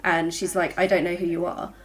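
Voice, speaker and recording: A woman's voice: fairly low pitch, flat delivery, fairly quick, fairly bright tone, rough recording. A woman, an author, chatting informally on a livestream, acting out little quoted asides as she talks. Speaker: author, fellow panelist. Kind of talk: casual livestream book chat.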